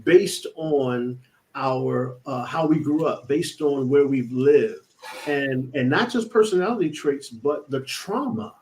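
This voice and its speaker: A man's voice: medium pitch, expressive, slow, a little mellow, low-quality recording. A man who is a reverend, reflecting earnestly on prayer in conversation and repeating words as he gathers his thoughts.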